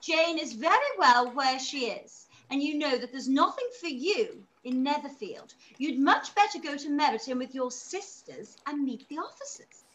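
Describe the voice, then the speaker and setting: Fussy, high-pitched mother. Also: expressive, moderate pace, few pauses, a bit bright. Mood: dismissive. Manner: theatrical, exclamatory. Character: fussy, scheming, excitable middle-aged mother. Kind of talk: radio play acting. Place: room at home, on a video call. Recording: noisy video call.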